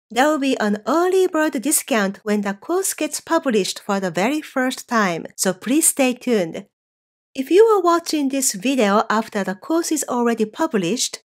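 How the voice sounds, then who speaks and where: Woman, Japanese teacher, fairly high pitch, clear, measured, scripted online lesson. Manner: clear, measured explaining, slowing on example phrases.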